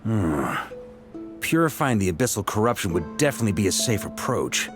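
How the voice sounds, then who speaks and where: A composed, scholarly young knight from a fantasy game. He explains things patiently, and his pitch rises and falls a lot. Game music plays clearly behind him. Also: fairly quick. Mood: explaining. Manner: calm, articulate explainer.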